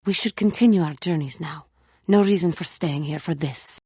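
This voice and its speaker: A woman voicing a brooding, remorseful catlike alien Jedi warrior in a video game, speaking formally and earnestly with a husky voice. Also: deep voice, mellow, no echo, clean studio sound. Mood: resolute. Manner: formal, solemn, measured speech without contractions. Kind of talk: video game character acting.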